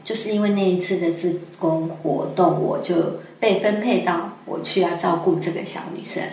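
Hesitant lowish woman in an echoey room. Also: steady tone, moderate pace, a little mellow. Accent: Taiwanese Mandarin. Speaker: guest scriptwriter. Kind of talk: conversational interview explanation. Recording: echoey room with faint noise.

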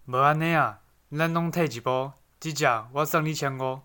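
A man's voice: fairly high pitch, fairly even intonation, normal speed, bright, forceful tone, clear, resonant tone. A young man with a Taiwanese accent playing a shrewd, folksy market merchant in an amateur radio drama. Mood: haggling. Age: teenager.

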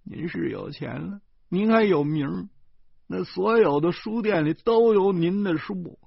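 A man voicing a weepy, aggrieved younger brother who wails in a high, sobbing voice. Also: a little slow, gravelly, slightly rough, dry recording, dull audio, heavily acted. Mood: bitter. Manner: wailing, high-pitched, sobbing.

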